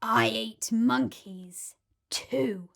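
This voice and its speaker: A woman's voice: a little high, extremely slow, creaky, a bit bright, exaggerated voice. A woman voicing a huge, greedy, boastful male crocodile in a storybook, drawing out words with sly, menacing relish.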